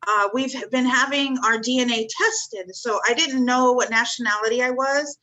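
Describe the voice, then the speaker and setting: Earnest bright-voiced woman in her fifties. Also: fairly high pitch, fairly even intonation, normal speed, low-quality recording. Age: 50s. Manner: earnest, repeats words of thanks. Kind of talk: spiritual chat.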